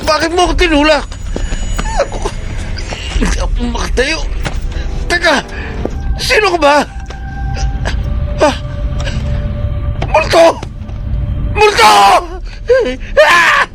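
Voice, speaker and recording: An actor playing a jumpy, blustering criminal on the run in a melodramatic horror radio drama. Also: male voice, very high-pitched, sing-song, slow pace, long pauses, raised voice, music in the background, exaggerated voice, low-quality recording. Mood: screaming terror. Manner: melodramatic, muttering to himself, crying out. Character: frightened male fugitive criminal, jumpy and blustering.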